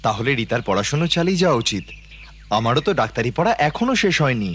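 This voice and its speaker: A man's voice: mid-range voice, big pitch swings, fast, fairly bright tone, a little hiss or hum. A man playing a principled, polite young student son in a cartoon, reasoning earnestly. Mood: calm. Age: young adult. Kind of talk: cartoon voice acting.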